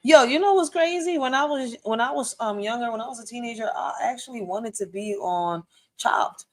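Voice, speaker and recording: A woman in her thirties giving casual, slangy reaction commentary, excitable and repeating herself. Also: on the high side, moderate pace. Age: 30s. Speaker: reaction video host.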